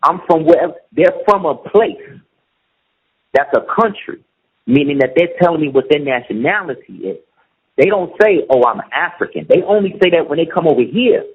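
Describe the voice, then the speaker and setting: A man's voice: on the high side, lively intonation, normal speed, slightly rough. A middle-aged man talking in a casual conversation, emphatic and often asking if the listener follows him. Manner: emphatic, keeps asking "you see what I'm saying". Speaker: guest. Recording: muffled lo-fi audio.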